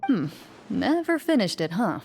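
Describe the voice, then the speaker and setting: An actress playing a composed, stern female champion hunter in a video game, measured and commanding. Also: medium pitch, very animated, vocal fry, darkish tone, a little hiss or hum. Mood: calm resolve. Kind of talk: dramatic video game voice acting. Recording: game music behind the voice.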